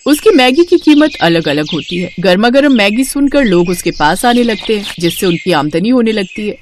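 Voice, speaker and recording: A woman's voice: medium pitch, a little hiss or hum. A woman reading a scripted moral story as its narrator.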